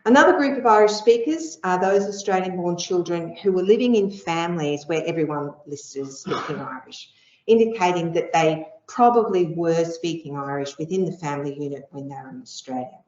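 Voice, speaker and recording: Middle-aged woman lecturer, low voice, measured, explanatory delivery, echoey room. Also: lively intonation, darkish tone. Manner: measured, explanatory, with frequent ums. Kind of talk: academic lecture with slides. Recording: echoey room, dull lo-fi sound.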